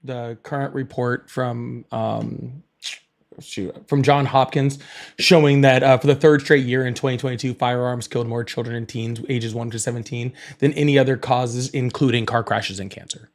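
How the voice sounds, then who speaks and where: A man's voice: medium pitch, steady tone, normal speed. Firm man hosting a live debate. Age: 30s. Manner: firm, corrective, cites data. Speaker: host. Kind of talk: live political debate stream.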